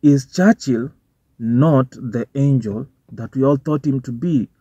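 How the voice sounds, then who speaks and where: Young Kenyan man with a Kenyan accent, mid-pitch, loose profane vlogger commentary, dull car recording. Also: slow, mellow, slightly rough, dry recording. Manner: informal, swearing, switching between English and Swahili slang. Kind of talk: casual commentary to camera. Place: parked car, indoors. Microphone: phone or camera on the dashboard.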